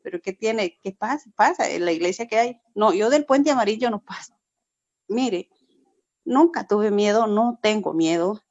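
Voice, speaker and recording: A woman's voice: mid-range voice, lively intonation, normal speed, darkish tone, dry recording, lo-fi sound. A woman from Peru, a pastor, giving an earnest testimony in a forum over a video call, often repeating herself and adding "verdad". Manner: earnest, repetitive, frequent "verdad".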